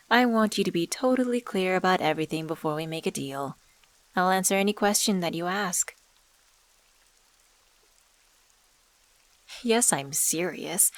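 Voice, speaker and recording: A woman voicing an enigmatic supernatural dealmaker in an ASMR audio drama, coaxing and teasing in a deliberate, smooth-talking way. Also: on the low side, no echo, close to an everyday voice. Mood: coaxing, reassuring. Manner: coaxing, teasing, deliberate, calls listener sweetheart. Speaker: voice actor in a scripted audio drama.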